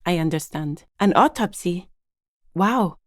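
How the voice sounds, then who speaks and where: Dry, guarded gardener, close and studio-dry. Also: female voice, on the low side, lively intonation, a little slow, dry recording. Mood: guarded, polite. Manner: understated, dry, polite but curt. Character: guarded, matter-of-fact woman gardener, politely dismissive. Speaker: video game voice actor. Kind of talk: game dialogue voice lines, understated and conversational.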